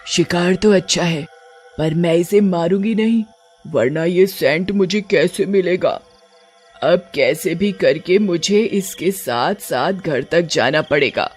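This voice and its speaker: A scheming witch from a horror tale, acted out by a woman, speaks at a slow, drawn-out pace. She is greedy and menacing, gloating over her prey, with music playing underneath. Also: fairly low pitch, a little mellow, voice pushed down. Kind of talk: horror storybook character voicing.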